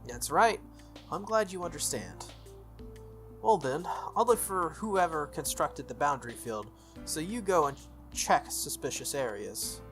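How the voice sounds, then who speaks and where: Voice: medium pitch, lively intonation, measured pace, a bit bright. A let's-play reader voicing a confident, teasing, bossy teenage schoolgirl mage from a visual novel. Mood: brisk, bossy. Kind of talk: visual novel dialogue read aloud. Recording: music under the voice.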